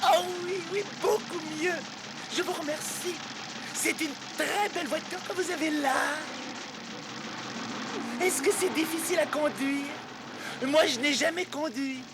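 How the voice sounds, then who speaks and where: Cartoon toad, extremely high voice, unhurried, boastful, exclamatory, sly. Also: very noisy. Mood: sly, polite. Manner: exclamatory, self-congratulating, theatrical. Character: boastful, scheming toad posing as a washerwoman. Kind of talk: animated film character acting. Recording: loud music under the voice.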